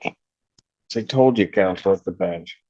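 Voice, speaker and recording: A man speaking as a judge from the bench, stern and emphatic, often halting and repeating himself. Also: low-pitched, dark tone, husky, muffled recording. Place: courtroom.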